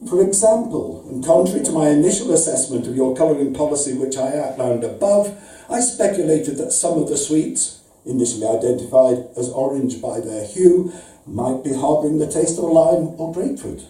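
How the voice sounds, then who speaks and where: A man's voice: a little high, expressive, moderate pace, warm tone, natural voice. A man voicing a pedantic, pompous, aggrieved customer reading out a formal, wordy letter of complaint as a live comic story. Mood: indignant, formal. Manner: formal, wordy, precise, mock-serious. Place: indoor arts venue reading, before an audience. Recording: roomy, distant live event recording.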